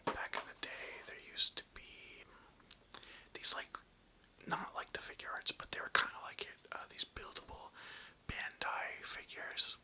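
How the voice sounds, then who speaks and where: A person whispering in a breathy, unvoiced voice, rambling casually and hesitantly as the host of an ASMR video. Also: bright, forceful tone. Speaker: video host presenting a toy collection.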